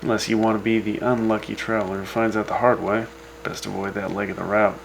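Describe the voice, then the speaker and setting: A man reading radio news in a dry, deadpan voice, his low tone rough with gravel. He drops wry asides without changing pace, and a faint hum sits under his words. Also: fast talker, low-quality recording. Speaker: radio host. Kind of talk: scripted radio news broadcast.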